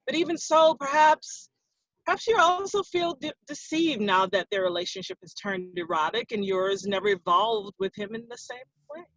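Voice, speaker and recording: A woman's voice: medium pitch, animated, moderate pace, fairly bright tone, no echo. A woman playing an earnest, bookish, conciliatory friend in a play reading, choosing words hesitantly. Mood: earnest, careful. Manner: hesitant, careful phrasing. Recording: dull lo-fi close recording.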